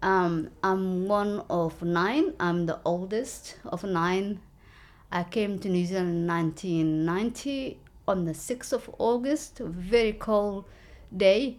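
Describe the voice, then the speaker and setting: A woman, telling her story in an interview with an earnest, halting manner and many fillers. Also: mid-range voice. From Somalia. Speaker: local election candidate.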